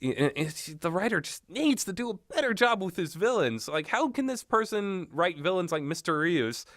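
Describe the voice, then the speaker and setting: A man's voice: high voice, big pitch swings, normal speed, a bit bright, dry recording, studio quality. A man giving casual, rambling commentary in a blunt, opinionated way, swearing freely as he goes. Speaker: solo commentator on visual novels.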